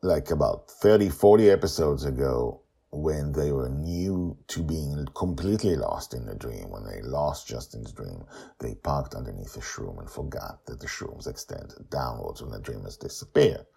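A man telling a story as host, expressive and emphatic, with dramatic pauses and casual asides. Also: extremely low pitch, gravelly, husky.

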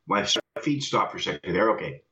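Lively man on an echoey video call. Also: sits low, a little fast. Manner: self-deprecating, fumbling with the call buttons. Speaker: caller. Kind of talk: casual chat on a call-in show. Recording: roomy, dull video call audio.

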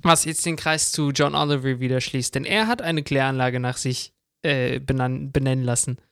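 A man's voice: mid-range voice, normal speed, vocal fry, fairly bright tone, clean tone, no room sound, studio quality. A man co-hosting a podcast, chatting casually and chiming in with short remarks and questions. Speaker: podcast co-host. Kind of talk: casual chat with short interjections.

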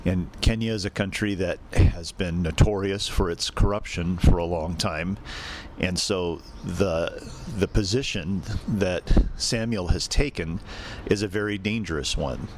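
A man hosting a podcast and interviewing guests, speaking conversationally in a measured, halting way with pauses. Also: low-pitched, noisy background. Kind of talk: conversational spoken introduction and interview.

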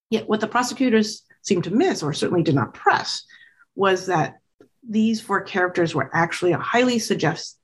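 A woman's voice: fairly low pitch, breathy edge. A woman lecturing on a history topic while pointing at slides, talking with many fillers and false starts. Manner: hesitant, many fillers and restarts.

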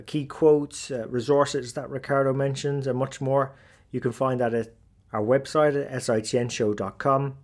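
A man's voice: medium pitch, darkish tone. A man hosting a podcast, introducing the episode and interviewing his guest in a friendly way with some fillers. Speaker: podcast host.

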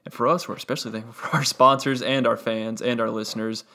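A young man hosting a solo sports podcast, talking through a game casually and analytically with a gravelly voice and frequent fillers. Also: medium pitch, steady tone, fast talker, pushed and bright. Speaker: podcast host.

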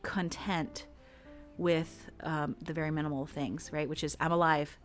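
Relaxed-voiced woman, lowish pitch. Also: unhurried, faint music behind. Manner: calm, relaxed voice. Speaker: hypnotist guest. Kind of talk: casual podcast conversation.

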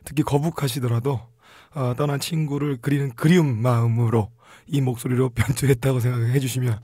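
A hoarse-voiced man chatting casually as a podcast producer and co-host. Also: mid-range voice, steady tone, a little fast, warm tone, dry recording, studio quality. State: hoarse from drinking and singing the night before. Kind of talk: casual chat.

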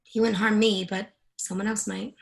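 A woman's voice: mid-range voice, level tone, normal speed, creaky, close to an everyday voice. A woman playing a seductive, controlling married woman in a screenplay read, teasing and commanding by turns. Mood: flirtatious, teasing.

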